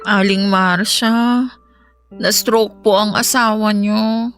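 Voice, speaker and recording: A woman's voice: mid-range voice, fairly even intonation, takes it slowly, a bit bright, soft background music. A woman playing a humble, desperate young mother and mistress in a Filipino radio drama, pleading politely. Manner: polite, deferential.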